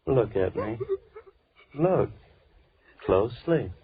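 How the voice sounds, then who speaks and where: A man's voice: medium pitch, lively intonation, slow pace, background noise. An actor playing a sinister, deranged, faceless man gloating over his captive bride, in a theatrical 1930s radio horror style. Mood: softly menacing. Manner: theatrical, menacing, unhinged. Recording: muffled old 1930s radio recording.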